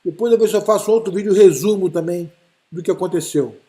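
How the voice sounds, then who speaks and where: Chatty high-voiced man. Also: fast, dark tone. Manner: chatty, explains to viewers, many fillers. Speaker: livestream host commenting on space news. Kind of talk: casual live commentary.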